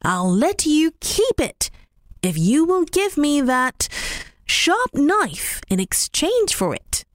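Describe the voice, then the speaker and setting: A woman voicing a generous, gentle male rabbit in a storybook reading, with a high, singsong manner. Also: big pitch swings, slow, no room sound, studio quality, heavily acted. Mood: cheerful.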